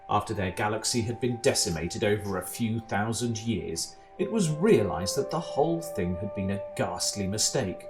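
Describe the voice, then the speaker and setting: A man playing a measured, deadpan narrator of absurd galactic tales in an amateur audio drama. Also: fairly low pitch. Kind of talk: scripted comic sci-fi narration. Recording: music behind the voice.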